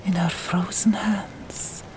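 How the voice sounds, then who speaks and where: Whispering woman reading a story very slowly. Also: on the low side, steady tone, rough recording. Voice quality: breathy, whispered. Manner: hushed, deliberate, pausing between short phrases. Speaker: audiobook narrator. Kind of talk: whispered ASMR storytelling, scripted narration.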